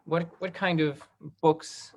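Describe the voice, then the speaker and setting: A man's voice: fairly high pitch, fairly even intonation, slow, a little mellow. A man hosting an online question-and-answer session, upbeat and encouraging. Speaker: talk back host. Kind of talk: video call Q&A. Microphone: video call.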